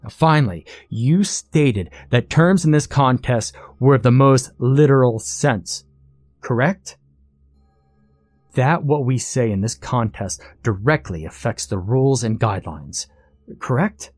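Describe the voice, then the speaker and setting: A man's voice: medium pitch, lively intonation, unhurried, darkish tone, no room sound, voice pushed up. A determined everyman played by a male narrator argues his case point by point. He is assertive and matter-of-fact, in a dry, close storybook recording. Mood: methodical, insistent. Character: determined, clever male contestant, a loophole-hunting everyman.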